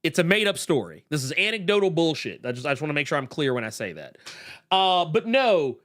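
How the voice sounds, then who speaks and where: A man hosting a podcast, talking casually and animatedly, swearing and breaking into quick asides. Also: fairly high pitch, very animated, a bit bright. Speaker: podcast host. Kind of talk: casual chat reacting to a video.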